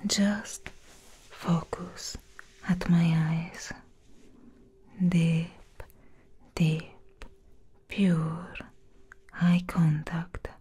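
A young woman playing a commanding, teasing fairy assassin in a whispered ASMR roleplay, slowly giving instructions in a breathy whisper close to the microphone. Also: lowish voice, fairly even intonation, warm tone, slight background noise. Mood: hypnotic, firm. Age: young adult. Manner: slow, deliberate, instructing.

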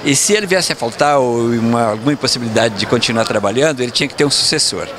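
A man in his seventies, the founder of a firm, answering an interviewer's questions at an event, with a halting manner and repeated words. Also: medium pitch, a crowd in the background. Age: 70s. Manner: hesitant, repeats words, animated gestures. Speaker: company founder and outgoing president. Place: event stage backdrop, indoors. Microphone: handheld mic held by interviewer.

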